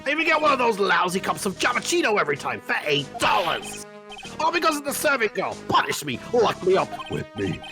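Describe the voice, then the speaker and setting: A man voicing a crotchety, lecherous old man with creaky, melodramatic outbursts in a fan dub of a game. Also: sits high, wildly swooping pitch, fairly quick, music in the background, full character voice. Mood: ashamed confessing.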